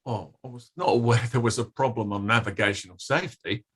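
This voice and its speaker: An actor playing a defensive, evasive company safety official testifying at an inquiry in a play reading, formal and guarded. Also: male voice, mid-range voice, fairly quick, husky, lightly acted. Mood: curt, guarded. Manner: guarded, formal, clipped denials with hesitant hedging. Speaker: actor in an online table read.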